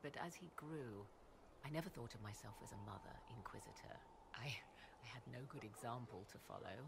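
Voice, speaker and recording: An actress playing a proud, enigmatic sorceress in a video game, speaking in theatrical, archaic and formal phrases. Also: female voice, a bass voice, brisk, vocal fry, background noise. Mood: tender, reflective. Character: proud, enigmatic apostate sorceress, arcane advisor. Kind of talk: video game dialogue acting.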